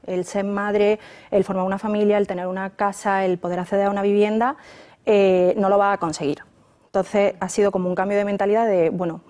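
Woman with a mellow voice, musing aloud. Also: medium pitch. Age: around 30. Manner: thoughtful, with frequent fillers and restarts. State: pregnant. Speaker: interview guest, journalist. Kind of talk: conversational interview answers.

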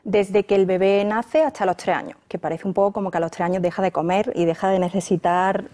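A woman around thirty, a pregnant journalist, answering interview questions in a thoughtful way, with many pauses and restarts. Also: medium pitch, steady tone, mellow. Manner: thoughtful, with frequent fillers and restarts.

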